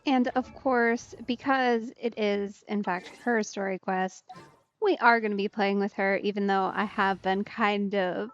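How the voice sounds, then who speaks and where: A woman's voice: medium pitch, steady tone, unhurried, highs cut off. A young woman doing casual let's play commentary, chatty and full of playful asides.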